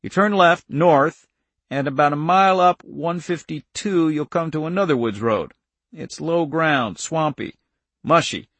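A man voicing a plainspoken rural farmer in an audiobook, gruff but kindly, with folksy, urgent directness. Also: fairly high pitch, measured pace, fairly bright tone, dry recording, pitched above the performer's own voice. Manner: folksy, drops into rural idiom.

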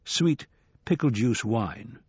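A man voicing a wary, laconic ex-convict in an audiobook, understated, deadpan and reasonable. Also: mid-range voice, takes it slowly, husky, dry recording, lo-fi sound, exaggerated voice. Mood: guarded. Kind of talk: audiobook character acting.

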